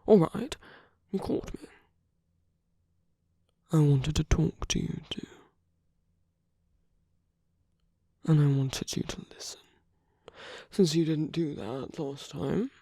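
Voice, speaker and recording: Casting: suave, teasing male villain, played by a man for ASMR roleplay; dark, creaky, breathy murmur, intimate and unhurried. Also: fairly high pitch, dry recording, studio quality, full character voice. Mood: earnest, intimate. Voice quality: soft, breathy. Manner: slow, teasing, murmuring, often dropping into whispers.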